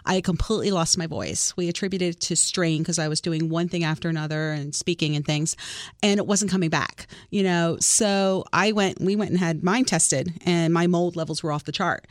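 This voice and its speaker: A woman's voice: fairly low pitch, fairly even intonation, normal speed, no echo, studio quality. A woman, a doctor co-hosting a radio show, chatting and sharing personal stories. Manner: chatty, shares personal stories, laughs easily. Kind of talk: radio interview.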